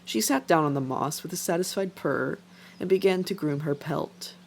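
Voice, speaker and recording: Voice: medium pitch, moderate pace, creaky, a little hiss or hum. A narrator reading an animal adventure story as scripted narration. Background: faint background music.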